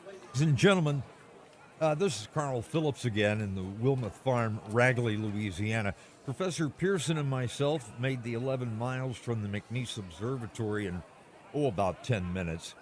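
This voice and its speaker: A man's voice: mid-range voice, natural voice. Man playing a flustered radio reporter over noise. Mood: awed, flustered. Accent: American. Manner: halting, descriptive, searching for words. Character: earnest, flustered on-the-scene radio news reporter. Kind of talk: radio play live news commentary.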